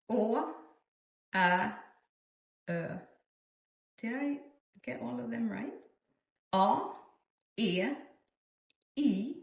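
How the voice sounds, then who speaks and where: A Swedish-accented woman whose first language is Swedish, teaching a cheerful beginner language lesson and slowly spelling out sounds in an encouraging way. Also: mid-range voice. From Sweden. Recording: roomy and slightly distant.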